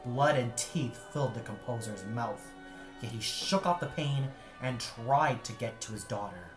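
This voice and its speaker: Slow, solemn male storyteller in an echoey space. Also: medium pitch. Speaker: narrator. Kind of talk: dramatic scripted story narration. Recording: echoey room, music underneath. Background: music.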